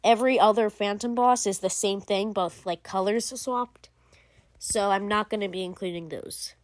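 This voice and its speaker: A woman's voice: mid-range voice, steady tone, normal speed. A young woman hosting a gaming channel, giving casual, rambling game commentary full of fillers and restarts.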